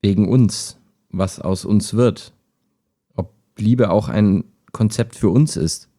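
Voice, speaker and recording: Low, mellow man acting a wistful chatbot. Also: slow pace, vocal fry, full character voice. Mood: tentative, wry. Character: wistful, skeptical male chatbot talking like a human. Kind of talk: intimate German radio play dialogue.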